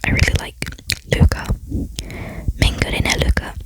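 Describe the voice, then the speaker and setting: A young adult chatting casually in a whisper, ASMR-style, in a rambling, self-deprecating way as a video creator. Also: moderate pace.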